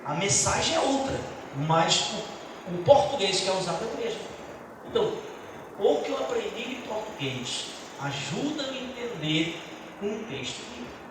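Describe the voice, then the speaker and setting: A middle-aged man from Brazil, a pastor giving an earnest personal testimony in a church teaching talk, with halting restarts. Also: high-pitched, sing-song. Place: church hall. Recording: echoey church hall from far off, noisy.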